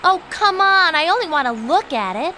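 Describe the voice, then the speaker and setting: A bossy young bird thief in a video game, sassy and pointed. Her voice is high and bright, with clear noise behind it. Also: big pitch swings, normal speed, heavily acted. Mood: wheedling. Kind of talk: video game cutscene voice acting.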